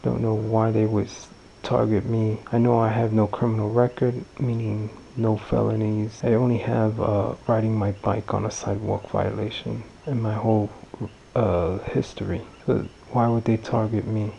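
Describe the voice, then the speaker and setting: A man with heavy vocal fry speaks in a rambling personal monologue, circling back and correcting himself. His voice is fairly low and somewhat dark, over faint background noise in an informal home recording. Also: flat delivery, normal speed, lo-fi sound. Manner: rambling, repetitive, self-correcting. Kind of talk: spoken diary-style monologue.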